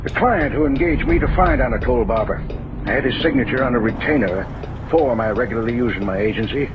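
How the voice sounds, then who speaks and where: An actor playing a solemn, fretful male private eye in an old-time radio drama, with a grave, deliberate delivery. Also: mid-range voice, big pitch swings, natural voice. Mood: grave, urgent. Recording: dull old radio broadcast, noisy vehicle background.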